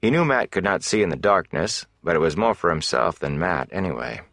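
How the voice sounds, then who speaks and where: A man's voice: deep voice, big pitch swings, fairly quick, gravelly, fairly bright tone, no echo, clean studio sound. A man narrating a fantasy novel as a scripted audiobook reading.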